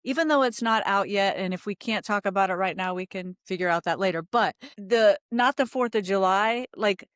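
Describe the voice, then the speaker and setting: A woman speaks as a podcast host in a dry, close recording, at an average pitch for a woman. Her delivery is conversational and effusive, wandering through her thoughts before reaching the question. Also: normal speed, a bit bright, no room sound. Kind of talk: casual conversational interview.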